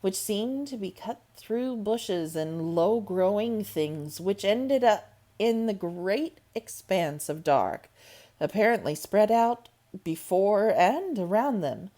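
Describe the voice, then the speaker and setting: A woman reading a classic novel aloud, slow and expressive. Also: mid-range voice. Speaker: storybook reader.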